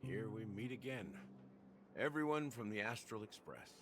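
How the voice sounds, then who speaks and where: A man's voice: lowish voice, animated, normal speed, background noise. A man acting as a sly, self-assured schemer with a theatrical, casually confident delivery in a game story. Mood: wry, confident. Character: sly, self-assured schemer revealing his hidden plan. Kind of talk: dramatic game story dialogue. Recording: game music behind.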